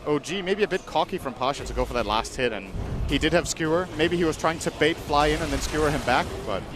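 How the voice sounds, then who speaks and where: A man giving measured, analytical color commentary on an esports match. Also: a little high, lively intonation, over music. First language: English. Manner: measured, explanatory.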